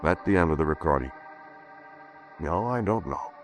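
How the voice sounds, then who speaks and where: An actor playing a shaken male scientist recounting his ordeal in a halting, conversational 1940s radio monologue. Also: extremely low pitch, lively intonation, faint music behind. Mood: uneasy, brooding. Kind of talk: first-person 1940s radio drama monologue. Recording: noisy vintage 1940s radio broadcast.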